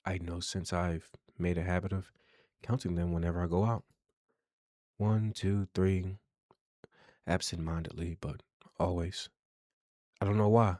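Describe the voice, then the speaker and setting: Low-voiced man narrating horror, dry sound. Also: steady tone, a little slow, pauses often, darkish tone, no room sound. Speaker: game streamer, channel host. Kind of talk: dramatic narrated horror game playthrough.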